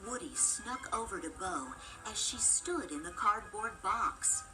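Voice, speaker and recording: A woman reads a children's story aloud as narrator, with lively intonation. Her scripted delivery is expressive, at a medium pitch, with music clearly playing behind her. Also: a little slow, poor audio.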